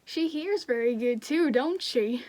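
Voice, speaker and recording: A woman's voice: high-pitched, lively intonation, unhurried, darkish tone. A woman with an American accent playing a neighborly young small-town farm man in an amateur play. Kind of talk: amateur stage-play acting.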